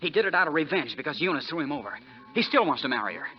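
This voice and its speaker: A man's voice: on the high side, very animated, racing. An actor playing a bitter, hot-headed young man, urgent and vehement, in 1940s radio style. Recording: muffled 1940s radio recording, music.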